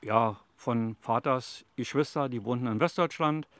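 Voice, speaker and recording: Calm, plain-spoken man in his seventies. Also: medium pitch, measured pace, no echo. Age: 70s. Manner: calm, plain, rambling storyteller with fillers like "also" and "eben". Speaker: interviewee, retiree. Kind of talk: casual interview answers. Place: outdoors in a city allotment garden.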